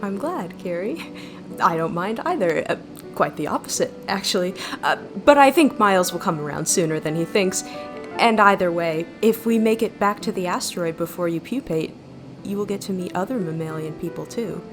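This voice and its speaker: An actress playing a thoughtful, gentle starship mind in an audio drama, with measured, wistful, formal phrasing. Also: female voice, lowish voice, expressive. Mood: warm, hesitant. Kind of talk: audio drama narration and dialogue. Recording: music behind the voice.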